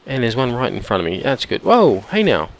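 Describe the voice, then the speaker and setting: A man with a hoarse, croaky voice from a cold, chatting casually while he plays and often clearing his throat. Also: medium pitch, wildly swooping pitch, fairly quick. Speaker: gameplay commentator. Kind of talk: casual let's-play commentary.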